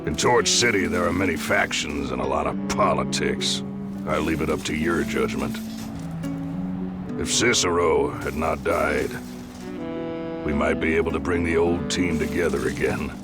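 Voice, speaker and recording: An actor playing a gruff, loyal old military captain, a weary resistance veteran who speaks plainly and with command, in a video game cutscene. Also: male voice, rumbling low, normal speed. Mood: grave, weary. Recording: loud music over the voice.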